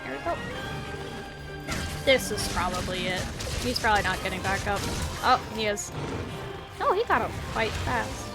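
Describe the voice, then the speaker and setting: A woman with a gravelly voice, chatting casually and a little self-deprecatingly while she plays a video game. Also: mid-range voice. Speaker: gaming streamer. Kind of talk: casual commentary while playing. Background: game music.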